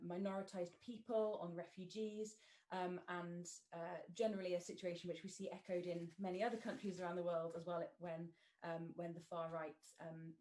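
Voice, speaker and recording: A woman hosts a webinar from home in a fairly low, very mellow voice. Her delivery is informal and halting, with pauses as she introduces the panel over a video call. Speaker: webinar host.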